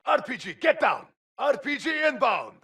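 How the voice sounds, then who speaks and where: A man playing an alert squad soldier in a video game, calling out clipped, urgent combat warnings with a strained rasp. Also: very high-pitched, big pitch swings, normal speed, sharp tone, soft background music, full character voice. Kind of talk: short scripted game combat barks.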